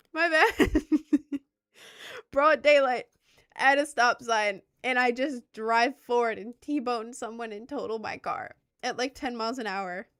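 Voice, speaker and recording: A woman's voice: a little high, slow, long pauses, fairly bright tone, smooth. A young woman in her twenties from Maryland with a light Southern accent, chatting casually with viewers in a rambling, self-deprecating way. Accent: light Southern American mixed with New York. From Maryland, USA. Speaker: content creator. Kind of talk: casual livestream chat with viewers.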